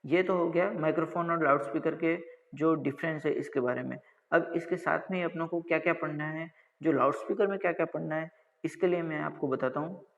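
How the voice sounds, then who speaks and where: In a dull recording with long reverb, a man gives a technical lecture. His voice sits slightly high for a man. He teaches step by step, posing questions, answering them himself and repeating little check phrases. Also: fairly quick, creaky, fairly bright tone. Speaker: college lecturer.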